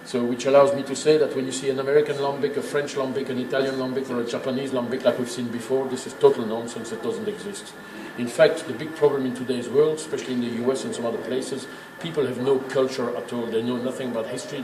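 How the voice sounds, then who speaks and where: A man guides a tour with an emphatic, didactic delivery. He explains informally but with firm opinions. The sound is roomy and echoing, with clear background noise. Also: mid-range voice, steady tone, quick pace, few pauses. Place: indoors in a working brewery.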